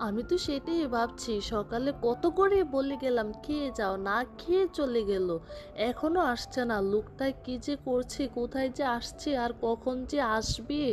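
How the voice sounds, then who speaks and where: Woman acting a high-voiced, fretful village mother. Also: animated, moderate pace, faint music behind. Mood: worried. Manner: soothing, fretful. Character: gentle, worried village mother comforting her daughter. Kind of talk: children's cartoon voice acting.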